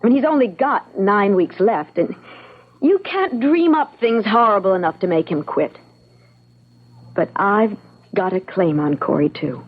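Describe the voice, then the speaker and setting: An actress playing a defiant, sharp-tongued young woman, firm and indignant, in old-time radio drama style. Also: medium pitch, expressive, measured pace. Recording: dull, muffled old radio sound.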